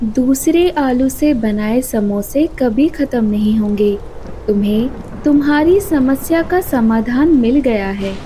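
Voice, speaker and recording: A benevolent magical fairy from a cartoon tale, voiced by a woman, speaks graciously and reassuringly. Her voice is high and mellow, and she takes her time. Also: animated, slow pace, slight background noise. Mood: gracious, calm.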